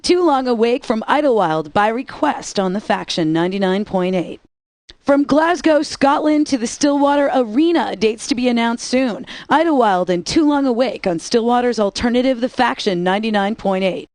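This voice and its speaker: A woman radio DJ with a bright, fairly high voice delivers sarcastic, wisecracking song intros. She is recorded close and dry on a studio mic. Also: lively intonation, normal speed, dry recording. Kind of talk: scripted radio DJ patter.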